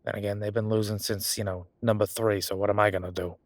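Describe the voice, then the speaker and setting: A man's voice: lowish voice, flat delivery, fast talker, no echo, close to an everyday voice. A man playing a chatty, sardonic records clerk who rambles wryly in a roleplay audio drama. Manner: rambling, wry, exaggerated feigned innocence.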